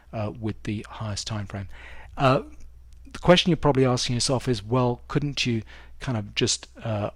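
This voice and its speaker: A man's voice: on the low side, no echo. A man giving a casual, emphatic market commentary as a trading instructor, talking through a screen share in an informal way.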